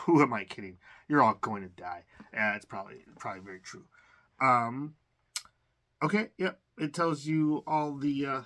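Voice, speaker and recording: A man's voice: mid-range voice, pushed and bright. A man casually talking through a game unboxing, rambling with lots of fillers and restarts. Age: adult. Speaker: collector unboxing games.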